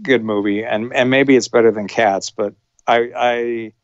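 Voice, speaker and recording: A man co-hosts a casual film review podcast and talks in halting bursts, often restarting his sentences. The recording is dry and close, with a somewhat dull, lo-fi quality. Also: medium pitch, steady tone, no echo. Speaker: podcast co-host.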